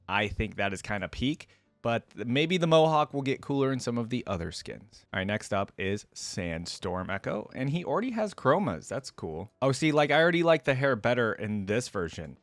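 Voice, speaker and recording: A man's voice: mid-range voice, dry recording. Casual man reacting, dry close sound. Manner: informal, opinionated, exclaiming. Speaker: reaction video host.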